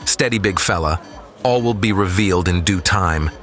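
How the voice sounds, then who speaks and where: A man playing a confident, steady captain leading a crew in a sci-fi audio drama, measured and theatrical. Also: low-pitched, faint music behind. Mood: assured, sly.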